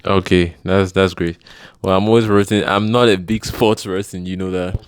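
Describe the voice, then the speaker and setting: Deep, smooth-voiced Cameroonian male host. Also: steady tone, moderate pace. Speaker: host, interviewer. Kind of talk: casual video talk show hosting.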